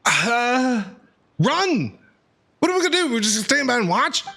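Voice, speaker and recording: A man's voice: very high voice, very animated, normal speed, vocal fry, pushed and bright. A man giving live reaction commentary over a story video game, exclamatory and dramatic, with casual slang. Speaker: streamer.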